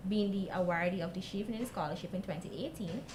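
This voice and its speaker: Guyanese woman telling her story over clear noise. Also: fairly low pitch, fast talker. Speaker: guest, youth mental health advocate. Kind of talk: personal storytelling in an interview. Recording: clearly noisy background.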